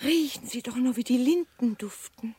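An actress in a radio drama playing a fussy, motherly, superstitious governess with a breathy, reassuring way of talking. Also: a little high, normal speed, no room sound. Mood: fond, coaxing. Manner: fussing, reassuring, animated.

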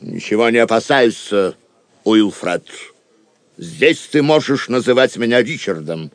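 A stately, low-voiced king. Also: expressive, a little slow, dry recording. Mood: solemn, reassuring. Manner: stately, commanding. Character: Richard the Lionheart, hidden king, noble and steadfast. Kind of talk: Russian audio drama acting.

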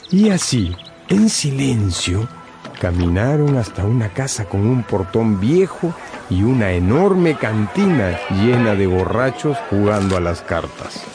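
A man's voice: fairly low pitch, sing-song, a little slow. A man narrating a scripted radio drama as a dramatic storyteller. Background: background music.

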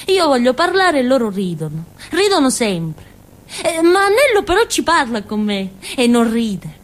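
An actress playing a naive, childlike village simpleton girl with a Calabrian accent, in a halting, repetitive theatre monologue. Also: sits high, big pitch swings. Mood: naive, plaintive. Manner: simple, repetitive, halting, with questioning tags and giggles. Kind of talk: theatrical monologue in colloquial dialect-tinged Italian.

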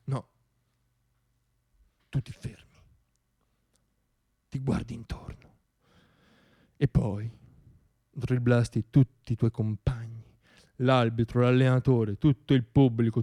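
A man performing a theatrical radio monologue, telling a story in a wry, ironic way with dramatic pauses and swells. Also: high voice.

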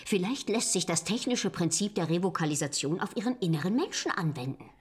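A woman's voice: lowish voice, animated, fairly quick, slightly rough. An actress playing an eccentric, self-assured woman scientist in a radio drama, with a formal, learned way of talking. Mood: musing. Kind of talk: German radio drama acting.

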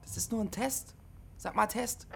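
Awkward, higher-voiced young male radio loner. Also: moderate pace, vocal fry, heavy background noise. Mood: awkward, insistent. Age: 20s. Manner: matter-of-fact, pedantic, socially awkward. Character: withdrawn, literal-minded young CB radio operator. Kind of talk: naturalistic German radio drama acting.

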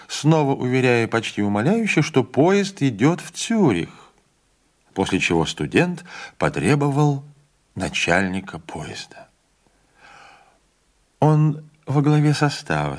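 A man narrating a literary audiobook with an expressive reading, giving the characters' lines a voice of their own. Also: medium pitch, unhurried.

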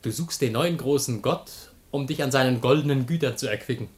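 A man's voice: medium pitch, a little fast, close to an everyday voice. A male actor playing a bold, jovial, mocking Greek man in a German radio comedy, declaiming theatrically with sardonic relish. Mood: dry, mocking.

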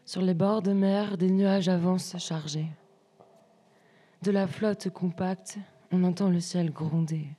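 A young woman reading poetry as spoken word in measured, short separated phrases. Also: fairly low pitch, fairly even intonation, warm tone, a little hiss or hum. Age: young adult. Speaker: student writer reading collective texts.

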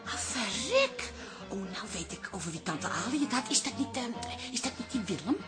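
A stubborn but well-meaning Dutch woman running a neighbourhood pub. Her fairly high voice swings freely up and down in colloquial, insistent radio-drama dialogue, with music clearly behind her. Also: big pitch swings, normal speed. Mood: puzzled. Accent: Dutch, from the Netherlands. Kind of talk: naturalistic radio drama acting.

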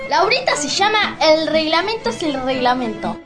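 A woman's voice: high-pitched, big pitch swings, normal speed, over music. A woman narrating an amateur radio play, reading out scripted announcements.

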